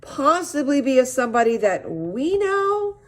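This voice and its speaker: A woman's voice: high voice, sing-song, a little slow, pitched above the performer's own voice. A protective storybook dad, voiced by a woman reader, confused and insistent. He questions things anxiously, in a raised, emphatic voice. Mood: nervous. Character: puzzled, protective father, anxious and demanding.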